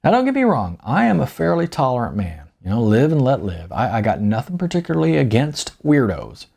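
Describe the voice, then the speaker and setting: A man's voice: medium pitch, wildly swooping pitch, brisk, gravelly, darkish tone, husky, heavily acted. A man voicing a folksy, wise older small-town businessman in audiobook dialogue, stubborn but tolerant, repeating himself hesitantly. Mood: wry, insistent. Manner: folksy, hesitant repetitions, ain't.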